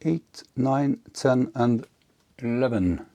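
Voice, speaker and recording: A man giving casual, unscripted hands-on narration as an electronics hobbyist, halting and half talking to himself as he works. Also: medium pitch, warm tone, muffled recording. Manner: halting, muttering to himself while working.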